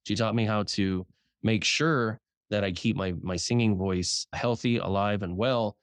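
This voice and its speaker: Casting: frank male journalist guest, low voice trained upward, casual swearing, dry close sound. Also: steady tone, no room sound. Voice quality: deliberately raised speaking voice, naturally deep. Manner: frank, swears casually, repeats words when starting sentences. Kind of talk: casual interview chat.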